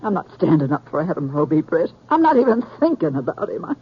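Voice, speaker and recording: Earnest, husky American frontier woman. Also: low voice, very animated, fast talker, exaggerated voice. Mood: worried, pleading. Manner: earnest, urgent pleading. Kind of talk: 1950s radio western acting. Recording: muffled old radio recording.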